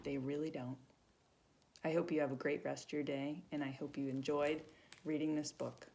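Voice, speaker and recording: A woman reading a children's story aloud with animated narration and small asides to young listeners. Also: deep voice, moderate pace, vocal fry, background noise. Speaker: volunteer storybook reader.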